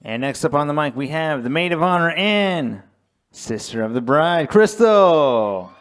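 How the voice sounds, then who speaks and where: A man announces the next speakers at a wedding in a slow, drawn-out way. His voice sits a little high and carries loudly. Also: lively intonation. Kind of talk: announcing into a microphone.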